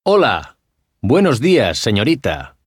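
A man's voice: mid-range voice, huge pitch swings, unhurried, slightly rough, dry recording, clean studio sound, full character voice. A curious, chatty young man. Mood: friendly. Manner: chatty, inquisitive. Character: curious, friendly young man, also a vendor and a neighbor. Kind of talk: language-course dialogue acting. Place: dry studio.

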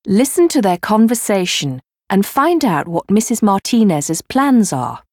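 A woman narrating a language course in a measured, instructional tone. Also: medium pitch, big pitch swings, dry recording, studio quality. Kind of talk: scripted narration. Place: dry studio.

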